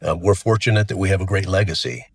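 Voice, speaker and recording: A middle-aged man with a cold that deepens his voice, chatting as the guest of an interview. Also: fast, breathy edge. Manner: relaxed and chatty, frequent restarts. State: has a cold, voice deeper than usual. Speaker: funeral home CEO, guest. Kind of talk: casual interview talk.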